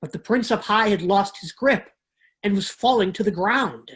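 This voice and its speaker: A woman giving a measured, expressive dramatic reading of children's books. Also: medium pitch, slightly rough.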